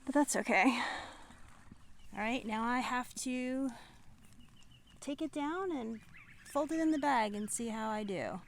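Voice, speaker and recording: Woman, higher-pitched voice, unhurried casual vlog talk, musing pauses, noisy outdoor recording. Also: lively intonation. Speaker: hiking vlogger. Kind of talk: casual vlog chat to viewers. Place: outdoors, on a walk and at a tent setup. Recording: noisy outdoor camera recording with natural ambience.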